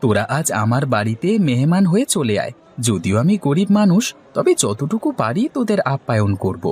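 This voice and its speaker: A man's voice: mid-range voice, sing-song, brisk, darkish tone, husky. A man voicing a poor, hospitable village man in a cartoon, effusive and insistent. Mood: friendly, inviting. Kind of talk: Bengali cartoon story dialogue.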